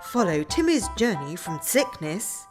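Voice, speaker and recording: A woman's voice: on the low side, very animated, unhurried, loud music behind the voice. A woman announcing a mock commercial for a children's book. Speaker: parody ad announcer.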